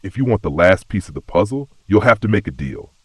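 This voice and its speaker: A man voicing a sly, mocking serpentine trickster who makes deals, with a calm, smug Australian drawl, in a narrated story. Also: low-pitched, fairly quick, slightly rough, no echo, exaggerated voice. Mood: sly, taunting. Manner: drawling, purring, smugly calm.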